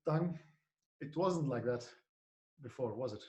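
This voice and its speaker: A man hosting a podcast, asking questions in a slow, halting way. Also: mid-range voice, lively intonation, mellow. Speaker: podcast host. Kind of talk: casual interview questions.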